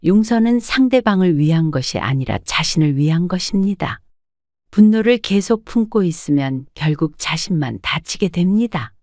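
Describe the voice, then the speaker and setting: Lady doing a calm wise counselor lady, giving advice, dry close sound. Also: lowish voice, moderate pace, dry recording. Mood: advising. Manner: calm, advising. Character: calm wise female counselor at a welfare center.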